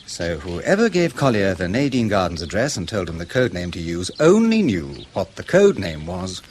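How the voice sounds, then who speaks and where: Sceptical British spy colleague, radio drama: lowish voice, very varied melody, dry, testy, educated, noisy backdrop. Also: male voice, huge pitch swings, normal speed, a little mellow. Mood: probing. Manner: dry, probing, sardonic, educated.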